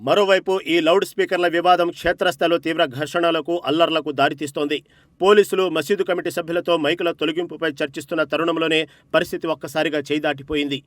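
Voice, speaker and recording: A man's voice: fairly high pitch, steady tone, fast talker, fairly bright tone. A man reading a scripted news report as narrator, with an emphatic, dramatic delivery.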